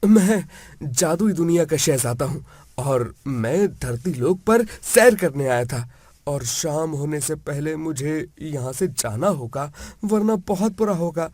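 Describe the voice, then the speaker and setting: A kind magical prince from a cartoon fairy tale, acted by a man, speaks slowly and earnestly. His voice is fairly high and reassuring, in a dry recording. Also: expressive, a little mellow, no echo. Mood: earnest, explaining. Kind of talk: Hindi animated moral-story dialogue.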